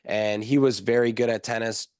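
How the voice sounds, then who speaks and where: A young man talking as a guest on a podcast, explaining things in a casual, quick way with lots of fillers. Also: medium pitch, fairly even intonation, darkish tone, no room sound, studio quality. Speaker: guest, nutritionist.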